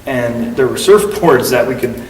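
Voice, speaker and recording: A man's voice: medium pitch, lively intonation, unhurried, a little mellow, recorded from a distance. A man being interviewed as a guest, telling long stories in a casual, rambling way with lots of fillers. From United States. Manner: rambling, full of fillers and acted-out quotes. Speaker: guest wrestler. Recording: echoey room heard from afar with faint noise.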